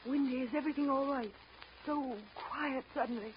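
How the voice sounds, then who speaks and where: An actress playing an anxious, earnest young wife and daughter, pleading and emotional in a 1930s radio drama. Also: female voice, high voice, fairly even intonation, normal speed, natural voice. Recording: dull, lightly noisy 1930s radio recording.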